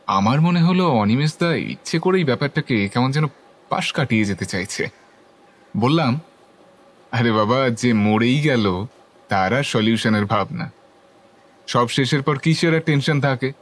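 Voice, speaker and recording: An actor playing a curious young club member who narrates a ghost story in the first person, with scripted dialogue. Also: male voice, mid-range voice, lively intonation, a little slow, pauses often. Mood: observant, curious.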